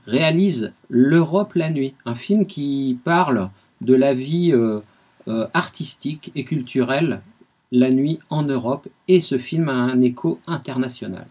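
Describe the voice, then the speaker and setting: Husky French radio columnist telling music history. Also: male voice, medium pitch, a little slow, darkish tone. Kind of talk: radio music segment, storytelling.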